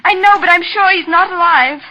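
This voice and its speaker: An actress playing a distressed woman in a halting, agitated manner, in 1940s radio horror style. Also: very high-pitched, pushed and bright. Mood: shaken, anxious. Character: distressed woman reporting a man's death. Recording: muffled vintage 1940s radio recording.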